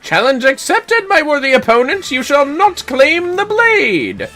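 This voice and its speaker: Pompous fantasy game duelist, high, bright and forceful, theatrical and grandiose. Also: male voice, huge pitch swings, unhurried, faint music behind, exaggerated voice. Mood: grandiose challenge.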